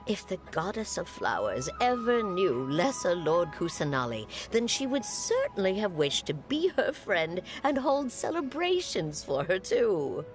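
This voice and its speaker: An older, nostalgic townswoman in a fantasy game, with a deep voice that moves expressively. She reminisces like a storyteller, with music playing behind. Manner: reflective, storytelling. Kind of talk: video game voice acting.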